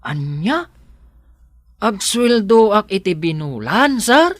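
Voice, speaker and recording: A man's voice: sits high, sing-song, takes it slowly, no room sound, full character voice. A man playing a naive, plucky, poor odd-job worker in a radio drama, artless and protesting. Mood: amazed.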